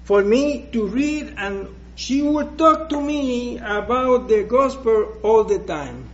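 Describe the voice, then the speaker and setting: Slow, hesitant Puerto Rican preacher. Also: male voice, very high-pitched, lively intonation, dull audio. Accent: Puerto Rican Spanish. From Puerto Rico. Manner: slow, hesitant, with many restarts. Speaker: guest preacher. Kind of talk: personal testimony in church.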